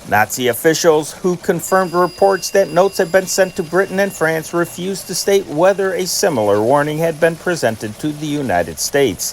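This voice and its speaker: A man reading scripted news in the manner of an old radio newscast, with a formal, measured announcer delivery. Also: a little high, slight background noise, rough recording. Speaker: news reader, channel host.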